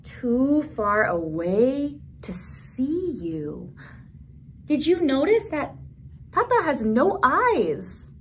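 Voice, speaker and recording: Slow, high-voiced woman reading to toddlers. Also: big pitch swings, slight background noise. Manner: gentle, simple phrasing aimed at small children, with questions. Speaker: children's librarian, storytime host. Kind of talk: toddler storytime, read-aloud with commentary. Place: small indoor room at a library.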